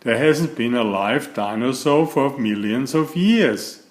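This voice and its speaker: A man's voice: medium pitch, lively intonation, slow pace. A man voicing a cheerful know-it-all young beaver in a storybook, emphatic and teasing. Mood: amused.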